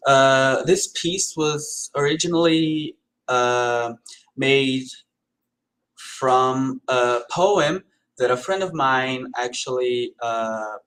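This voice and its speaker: A Brazilian painter with a Portuguese accent, speaking hesitantly with many fillers in a live-stream interview. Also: male voice, mid-range voice, very slow, a bit bright, rough recording. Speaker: painter guest.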